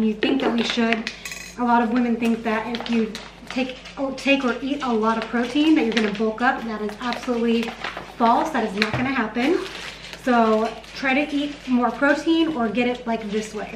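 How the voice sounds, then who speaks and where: A woman in her late thirties with a fairly high voice talks casually to her vlog viewers. She is in a home kitchen with noticeable echo, and there is clear noise behind her. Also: normal speed. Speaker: lifestyle vlogger. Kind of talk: casual vlog chat while cooking, answering viewer questions.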